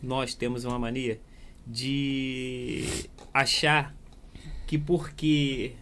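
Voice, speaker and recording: A man's voice: medium pitch, little pitch movement, extremely slow, sharp tone, slight background noise. A Brazilian man in his fifties talking casually as an interviewer, restating his phrases as he builds a point. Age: 50s. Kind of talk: casual conversational talk.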